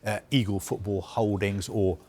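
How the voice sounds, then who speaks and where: A man reporting football news, explaining a story in a measured, careful way with frequent fillers. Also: on the low side, fairly even intonation, mellow, breathy edge. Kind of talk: live news discussion.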